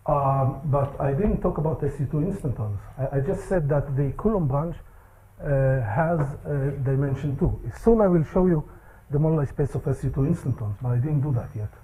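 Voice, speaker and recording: A man giving a technical seminar lecture in a seminar room, with a soft voice, halting speech and many fillers. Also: a little high, husky, poor audio.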